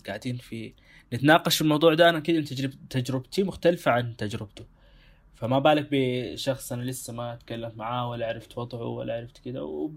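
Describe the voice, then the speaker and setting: A young man with a Sudanese Arabic accent, co-hosting a casual discussion and talking in an animated, emphatic way. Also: mid-range voice, vocal fry. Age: young adult. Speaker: co-host.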